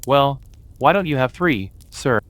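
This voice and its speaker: A man's voice: medium pitch, lively intonation, unhurried, fairly bright tone, no room sound. Man playing a cheeky young son, dry sound. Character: composed, cheeky grown son, somewhat presumptuous. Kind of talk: dramatised audio story.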